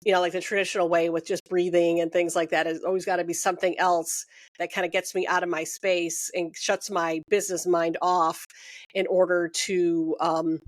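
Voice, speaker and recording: A woman's voice: on the low side, level tone, normal speed, dry recording. Woman host, chatty, rambling, laughs a lot, dry close mic. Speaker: interview host. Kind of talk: casual interview conversation.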